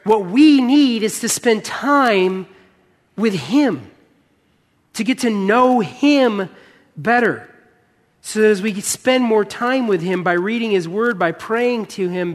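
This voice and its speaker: High-pitched American preacher in a reverberant hall. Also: male voice. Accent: American, touch of Midwestern. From United States, born in Michigan. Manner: earnest, emphatic repetition. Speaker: pastor preaching. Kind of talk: sermon. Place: church auditorium.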